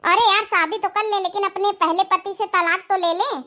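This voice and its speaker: Woman as a haughty, very high-voiced young wife. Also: steady tone, normal speed, bright, forceful tone. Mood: coy. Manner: haughty, sassy. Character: haughty young schoolteacher wife, vain and defiant. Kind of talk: comedy cartoon dialogue.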